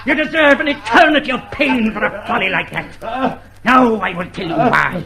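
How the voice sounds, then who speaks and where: An actor playing a cold, fanatical, domineering party torturer in a vintage radio drama, with theatrical, emphatic menace. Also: male voice, very high-pitched, animated, normal speed, vocal fry, crowd noise, exaggerated voice. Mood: fanatical, exultant. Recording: noisy, humming old radio drama recording.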